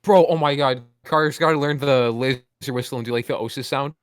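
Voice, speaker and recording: A man's voice: medium pitch, brisk, smooth, no room sound, clean studio sound. A man co-hosting a podcast in casual chat, playful and slangy. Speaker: podcast co-host.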